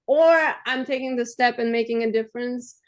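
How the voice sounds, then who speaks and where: A woman from Armenia, raised in Russia, speaking English as a guest, earnest and halting, sharing her story with light laughter. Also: a little high. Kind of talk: personal testimony in a conversation.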